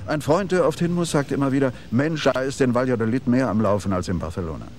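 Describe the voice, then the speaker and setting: Ein Schauspieler spielt einen eifrigen, redseligen Regieassistenten, der sich anbiedert. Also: male voice, medium pitch, quick pace, a little hiss or hum, close to an everyday voice. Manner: pushy, excitable, name-dropping. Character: eager talkative male assistant director, ingratiating. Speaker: would-be assistant director.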